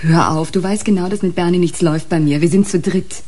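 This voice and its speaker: An actress playing a troubled, earnest woman who speaks in a halting, confiding way in a radio crime play. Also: on the low side, steady tone, a crowd in the background, lightly acted. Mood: tense, hushed. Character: troubled, pleading woman wanting to get away.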